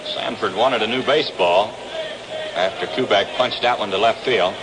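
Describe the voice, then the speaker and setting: A man's voice: on the low side, normal speed. An American man calling baseball play-by-play in the style of a 1960s radio sports broadcast. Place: outdoor ballpark broadcast. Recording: noisy vintage 1962 radio broadcast.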